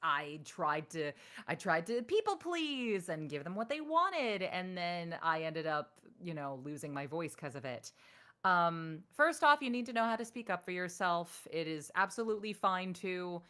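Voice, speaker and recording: A woman voice actress in a casual interview, talking frankly and giving advice. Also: measured pace. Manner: animated, acts out quoted people in higher voice. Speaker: voice actress guest.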